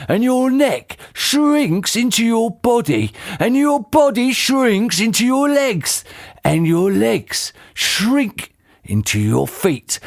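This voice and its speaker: A reader voicing a cruel, gloating old man in a storybook, drawing out his taunts slowly. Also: mid-range voice, no echo, clean studio sound, voice pushed up. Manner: slow, taunting, relishing each word. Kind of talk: storybook character voice.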